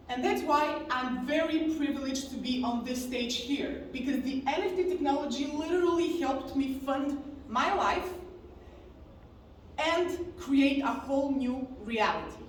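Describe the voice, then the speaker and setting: A woman, giving an earnest, confessional talk from a conference stage and slowing down on key words. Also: high-pitched. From Bulgaria. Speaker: conference speaker. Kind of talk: personal story talk on stage. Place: large conference hall stage. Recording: very echoey hall, distant mic, faint noise.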